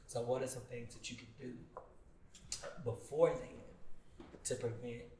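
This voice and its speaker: A man's voice: medium pitch, flat delivery, a little slow, warm tone. A man hosting a group discussion, posing questions to the participants as moderator. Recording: echoey room, distant mic, faint noise.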